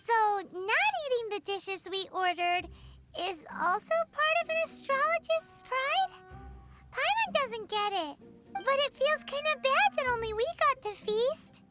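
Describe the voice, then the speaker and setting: A woman's voice: very high-pitched, lively intonation, measured pace. A woman voicing a tiny, bubbly fairy companion in a video game, speaking of herself by name. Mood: teasing. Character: tiny chirpy floating fairy companion, greedy. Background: loud game music.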